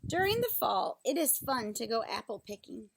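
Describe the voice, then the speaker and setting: Woman, high voice, creaky edges, unhurried read-aloud for young children, simple teacherly explanations, faint background noise. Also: very animated, low-quality recording. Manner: simple, instructional, pausing to explain and ask questions.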